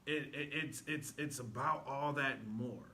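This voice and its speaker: A man with a very bright, forceful voice speaks earnestly and casually as an interview guest. There is faint noise behind him. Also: mid-range voice, unhurried. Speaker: stage actor, interview guest. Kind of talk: casual, earnest promotional talk. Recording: faintly noisy room recording.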